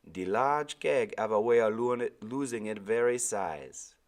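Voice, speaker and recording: A man plays an old sailor from a storybook, pitched well above his narrating voice. He is stubborn and quietly firm, with slow, drawn-out folksy phrases. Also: lively intonation. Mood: wise, cautious. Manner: slow, folksy dialect speech. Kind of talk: storybook character voice in a read-aloud.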